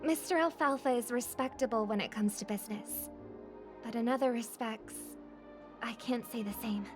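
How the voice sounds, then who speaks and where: Game role: earnest, diplomatic young woman, female actor, highish voice, polite deliberate delivery, over music. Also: close to an everyday voice. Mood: polite, reserved. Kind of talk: video game voice acting. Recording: music under the voice.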